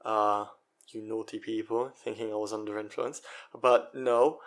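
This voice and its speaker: A man's voice: fairly low pitch, steady tone, measured pace. A man in his twenties from Israel, hosting a solo podcast in a chatty, self-mocking way with many fillers and restarts. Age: 20s. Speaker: podcast host.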